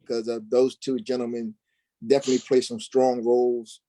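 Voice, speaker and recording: A man of medium pitch talks casually as an interview guest. He goes haltingly, thinking aloud, and the sound is very dry with no room echo. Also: little pitch movement, mellow. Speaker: interview guest, stage actor.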